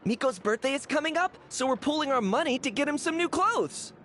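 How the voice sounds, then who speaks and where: A woman playing a cheeky, warm-hearted youngster from a street gang in a video game. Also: sits high, expressive, brisk, a little hiss or hum. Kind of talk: video game character dialogue.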